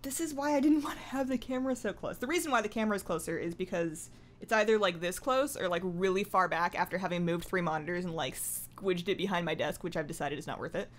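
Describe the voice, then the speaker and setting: A woman's voice: on the low side, fast. A woman chatting casually to camera as a gaming vlogger at her desk, with playful asides and self-interruptions. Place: indoors at a home desk.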